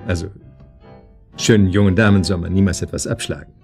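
A man's voice: low-pitched, fairly even intonation, brisk, gravelly, a little mellow, soft background music. An actor playing a nervous, courteous, flirtatious man in a radio drama, hesitant and stammering, with speech. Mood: charming, flirtatious. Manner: hesitant, stammering, formally polite. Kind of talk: German radio drama acting.

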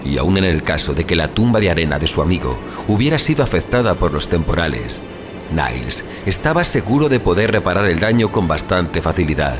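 A man's voice: deep voice, sing-song, a little fast. A man narrating a scripted suspense horror story as an audio fiction narrator. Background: music.

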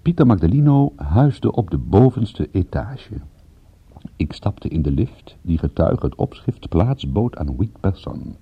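An actor playing a wry, laconic private detective in a radio play, dry and ironic in dialogue and narration. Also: male voice, sits low, big pitch swings, unhurried, husky, muffled recording, exaggerated voice. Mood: wry narrating. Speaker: lead actor and first-person narrator. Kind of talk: Dutch radio drama acting and narration.

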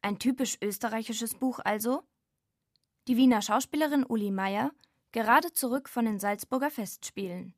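A woman narrates a radio feature from a prepared script. Her voice sits a little high. The sound is dry and close, with no room on it. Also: moderate pace, darkish tone, no room sound.

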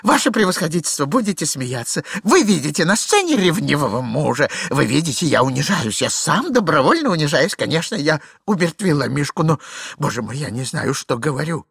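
A man's voice: a little high, very animated, brisk, creaky, a bit bright, husky, no echo, studio quality, full character voice. A man voicing a flustered middle-aged husband in a story reading, babbling, pleading and correcting himself obsequiously. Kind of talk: theatrical literary reading.

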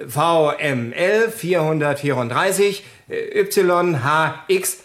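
A man playing a careful subordinate policeman who reports haltingly in a German radio crime drama. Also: a little high, animated, a bit bright.

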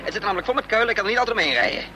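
An actor playing a bold, quick-witted fugitive in a Dutch radio play, urgent and commanding. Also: male voice, high voice, big pitch swings. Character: bold hunted fugitive without memory, quick-witted man of action. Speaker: lead actor and first-person narrator. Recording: noisy radio play recording with vehicle sounds.

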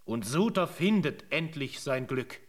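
A formal, higher-pitched radio chronicler. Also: male voice, expressive, unhurried. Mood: measured. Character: chronicler narrating a colonial history. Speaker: narrator. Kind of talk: scripted radio drama narration.

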